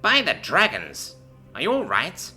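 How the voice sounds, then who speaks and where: An earnest lieutenant checks on a fallen comrade in a worried, raised voice, as a man voices him for a storybook. The tone is bright and the pitch is lively. Faint music plays behind him. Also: takes it slowly, full character voice. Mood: concerned. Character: concerned, dutiful male lieutenant.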